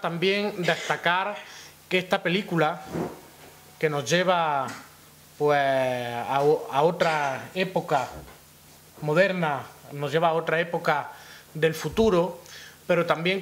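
A young man with an Andalusian Spanish accent giving a formal press conference speech, deliberately setting out his points. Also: fairly high pitch, a bit bright. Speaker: regional youth official. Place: indoor press room at town hall. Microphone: gooseneck table microphone.

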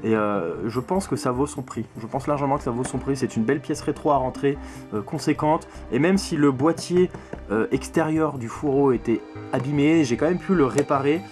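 A man's voice: medium pitch, normal speed, darkish tone, soft background music. A man in his twenties hosting a casual vlog about his game collection, talking to camera in a small room and often repeating words as he goes. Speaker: video game collector and channel host. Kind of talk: casual vlog chat, showing purchases to camera. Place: small bedroom full of games, indoors.

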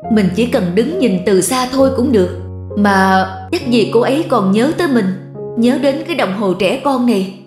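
A woman voicing an earnest, self-doubting young man in love for an animated cartoon. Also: a little high, moderate pace, roomy sound, close to an everyday voice. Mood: wistful, self-doubting. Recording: music behind the voice.

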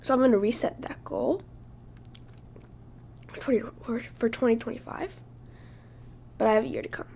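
A woman hosting her own podcast, speaking casually while hoarse from losing her voice, halting and often dropping to a breathy whisper. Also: a little high, animated, warm tone, slight background noise. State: hoarse, lost voice. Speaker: podcast host. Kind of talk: casual solo podcast talk.

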